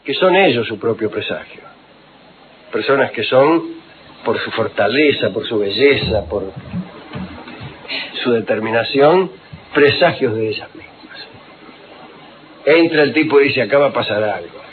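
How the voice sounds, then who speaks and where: A man, an ironic radio host who spins digressive stories and drops quick asides. His mid-pitched voice comes through a thin, phone-like band. Clear hiss runs under an old archive recording. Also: animated. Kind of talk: humorous radio talk.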